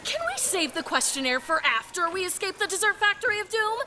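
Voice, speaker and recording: A woman's voice: extremely high pitch, big pitch swings, fairly quick, heavy background noise. A woman voicing a feisty, impatient raccoon vigilante in an audio drama, sarcastic and brusque. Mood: determined. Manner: snappy, sarcastic. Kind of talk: audio drama cartoon-like acting.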